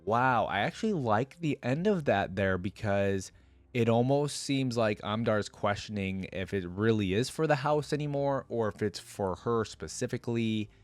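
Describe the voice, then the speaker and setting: A man's voice: on the low side, lively intonation, moderate pace, no echo. A man hosting a reaction video, talking informally and thinking aloud as he comments and reads text out. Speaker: video host reacting to game lore.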